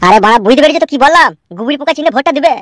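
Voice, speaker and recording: A man's voice: very high voice, expressive, racing, fairly bright tone, dry recording, clean studio sound. A man with a rural West Bengal accent voicing an insistent, bullying, foul-mouthed political henchman in a comic cartoon. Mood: impatient. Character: pushy, foul-mouthed male party henchman canvassing for votes. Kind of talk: fast comic cartoon dialogue.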